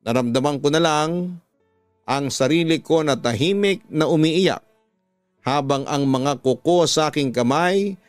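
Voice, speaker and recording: A Filipino man with a slightly high voice tells a dramatic radio story in slow, emotive phrases. He is recorded close and dry, with music playing underneath. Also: dry recording. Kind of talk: dramatic scripted story narration. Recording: music bed behind a dry studio voice.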